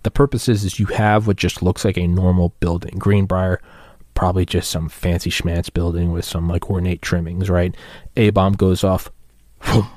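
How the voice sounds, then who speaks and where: A man's voice: low voice, level tone, normal speed, a little mellow, no room sound, studio quality. A man hosting a podcast alone, rambling through a casual, slangy rant with some swearing. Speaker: podcast host. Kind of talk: casual solo rant monologue.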